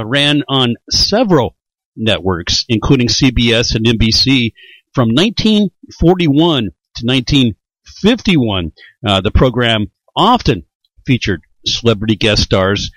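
Male podcast host, mid pitch with lively swings, halting informal explanations, close dry recording. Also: dry recording, low-quality recording. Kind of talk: informal introductions of classic radio programs.

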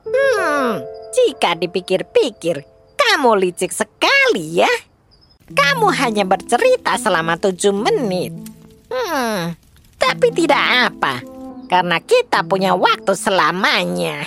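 A woman's voice: medium pitch, huge pitch swings, gravelly, a bit bright, faint music behind, full character voice. A woman voicing a scheming, impatient old witch granny in a children's storybook, theatrical and menacing. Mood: sly, gloating. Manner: theatrical, drawn-out, suspicious and gloating. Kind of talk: children's storybook audio drama.

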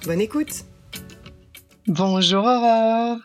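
A woman's voice: on the high side, extremely slow, a little mellow, soft background music. A woman in her thirties hosting a podcast interview, easygoing and hesitant with frequent fillers in conversation. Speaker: podcast host. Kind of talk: scripted podcast intro, then casual interview chat.

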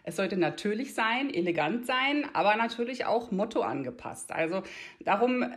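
A woman's voice: mid-range voice, lively intonation, normal speed. Chatty middle-aged woman explaining her work. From Brandenburg near Berlin, Germany. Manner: chatty, explains at length in Standard German, avoiding dialect. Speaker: guest, make-up artist and style consultant. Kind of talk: conversational interview answers.

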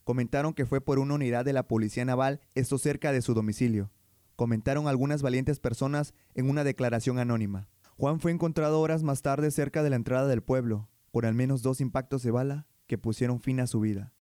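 A man's voice: mid-range voice, fairly even intonation, brisk, dark tone, dry recording, studio quality. A man presenting and narrating on radio in a scripted, formal announcer style.

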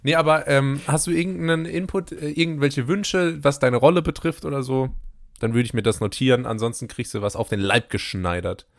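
A young man co-hosting a podcast, chatting in a relaxed way with dry, wry humor. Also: medium pitch, fairly quick. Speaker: podcast co-host. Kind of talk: casual chat.

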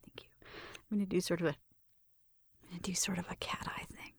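A woman performing an ASMR roleplay in a gentle, breathy whisper, murmuring through each step close to the microphone. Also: lowish voice, flat delivery, moderate pace. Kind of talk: ASMR roleplay, soft personal attention. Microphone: close microphone, dry sound.

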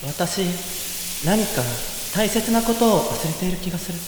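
A man playing a hysterical, melodramatic heroine in a comic radio drama, speaking haltingly. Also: high voice, natural voice. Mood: dazed. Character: hysterical, melodramatic heroine recalling her rescue mission. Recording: very echoey, reverberant, noisy.

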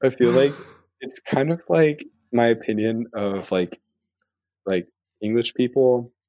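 A man's voice: medium pitch, measured pace, mellow, no echo. A man with an American accent chatting casually and playfully, with lots of filler words. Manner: loose and playful, full of "like" fillers. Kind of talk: casual chat. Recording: slightly dull, dry sound.

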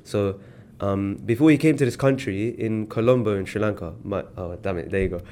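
A young British man, telling stories in a relaxed, slangy way as a podcast guest. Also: fairly low pitch, fairly quick, slight background noise. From Britain. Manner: relaxed storytelling with slang and fillers. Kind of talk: casual podcast chat.

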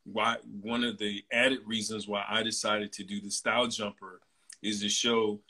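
A man in his mid-forties hosting a casual interview, talking in a relaxed, chatty way with plenty of fillers. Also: on the low side, moderate pace, slightly rough. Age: 40s. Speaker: host.

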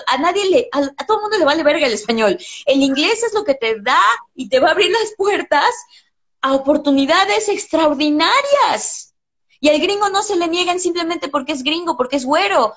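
A woman, an English teacher talking in a casual interview, blunt and passionate, swearing freely. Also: high-pitched.

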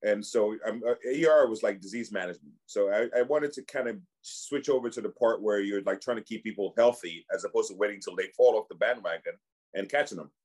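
A man's voice: lowish voice, a little mellow, breathy edge. A man from Cameroon in his forties, a physician and clinic founder, speaking as a guest in a casual podcast chat and often restarting his sentences. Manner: halting, many restarts and repeated words.

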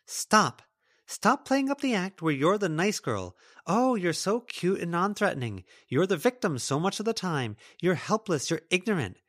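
A man voicing an intense, accusing teenage schoolgirl in a forceful, demanding audiobook performance. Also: fairly high pitch, big pitch swings, quick pace, pitched above the performer's own voice. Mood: angry, accusing.

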